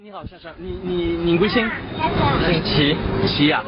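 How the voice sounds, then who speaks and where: A higher-voiced man works as a street interviewer, asking casual questions in a polite, halting way with frequent repeats. The recording is dull and lo-fi, with very loud street noise around him. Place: outdoors on a busy city street. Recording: lo-fi, noisy busy street with traffic.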